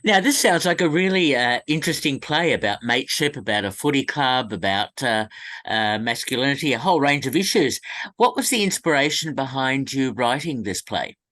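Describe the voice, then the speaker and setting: A man hosting an arts interview in a halting way, with frequent uhs. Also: mid-range voice, animated, bright, forceful tone. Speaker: show host and interviewer.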